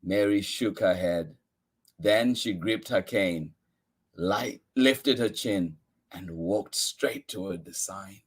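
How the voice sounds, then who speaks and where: Male story-time host, unhurried delivery, reading a picture book with dramatic, expressive emphasis. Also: low voice, slightly rough. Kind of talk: expressive read-aloud storytelling.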